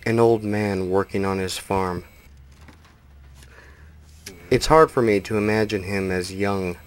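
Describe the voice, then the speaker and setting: Low-voiced male narrator. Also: fairly even intonation, takes it slowly, clean tone. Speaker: voice-over narrator and local TV reporter. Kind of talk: scripted narration.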